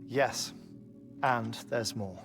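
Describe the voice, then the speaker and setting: An actor playing a measured, cerebral scientist in a video game cutscene, speaking in a deliberate, articulate, professorial way. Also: male voice, mid-range voice, creaky, music in the background. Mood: composed, intent. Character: measured, cerebral male scientist explaining his theories.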